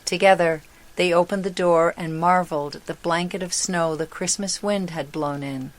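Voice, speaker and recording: A woman reading a storybook as narrator in a measured, deliberate manner. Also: lowish voice, fairly even intonation.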